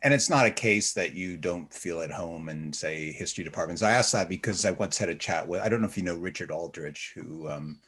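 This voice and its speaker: A man's voice: low-pitched, a little fast, few pauses, a bit bright, slightly rough. A man hosting an interview, asking questions in a conversational, somewhat hesitant way. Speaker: interview host. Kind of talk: podcast interview.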